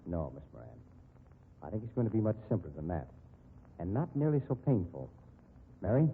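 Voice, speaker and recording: Shrewd male businessman, vintage radio drama: very low voice, wide inflection, dull noisy recording. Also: sing-song, a little fast. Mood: calm, wry. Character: calm, shrewd male businessman helping blackmail victim. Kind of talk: 1950s radio drama acting.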